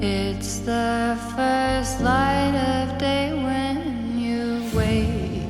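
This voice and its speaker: High female singer, slow and echoing. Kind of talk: sung dramatic pop ballad. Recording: echoing vocal, loud music backing.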